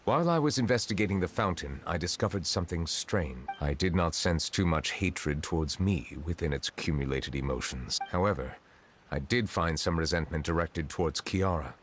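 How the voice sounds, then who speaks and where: An actor playing a grave, dignified chief justice in a video game, speaking in a formal, measured, reserved way. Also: male voice, sits low, animated, slight background noise.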